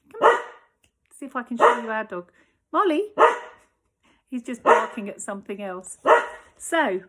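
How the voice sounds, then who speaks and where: Teacher lady reading a kids story at home, slow and expressive, a bit high, whispers the tense bits. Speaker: primary school teacher. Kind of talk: reading a children's story aloud.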